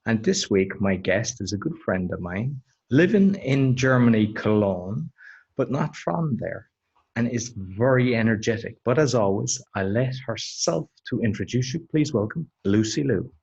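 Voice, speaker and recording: A man's voice: medium pitch, lively intonation, unhurried, creaky, darkish tone, highs cut off. A man hosting a speaking podcast, talking casually and reassuringly, often repeating words as he starts a sentence. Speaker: podcast host. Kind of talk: casual interview.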